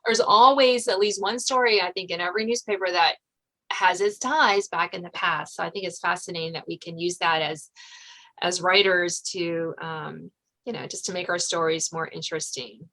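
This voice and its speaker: A woman's voice: fairly low pitch, fairly even intonation, normal speed, pushed and bright, low-quality recording. A woman hosting a podcast, talking as an author in a conversational interview style.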